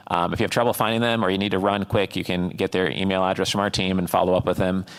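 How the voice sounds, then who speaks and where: A man hosting a conference fireside chat, upbeat and quick with praise, his speech full of fillers. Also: low voice, little pitch movement, a bit bright. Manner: upbeat, filler-heavy wrap-up talk. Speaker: host. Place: conference stage.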